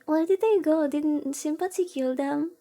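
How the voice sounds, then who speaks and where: A woman's voice: sits high, unhurried, mellow. A woman VTuber livestreaming a visual novel, chatting casually, rambling and reacting aloud as she reads along. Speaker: VTuber streamer.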